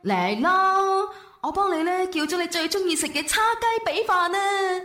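A woman's voice: very high voice, animated, normal speed, a bit bright, faint music behind. An actress playing a loyal young girlfriend who pleads and sobs in a comic radio drama. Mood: coaxing. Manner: pleading, tearful. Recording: roomy echo.

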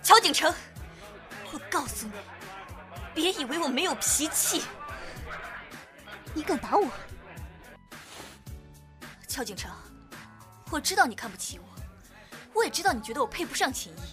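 Woman acting high-voiced, earnest young lover. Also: very animated, moderate pace, long pauses, a bit bright. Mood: defiant, emotional. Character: ordinary, earnest young lover, stubbornly devoted, modest. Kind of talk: modern Chinese audio drama acting. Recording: music under the voice.

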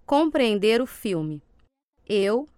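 A woman reading scripted language drill prompts as an instructor, with measured, deliberate enunciation. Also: mid-range voice, big pitch swings, a little mellow, a little hiss or hum.

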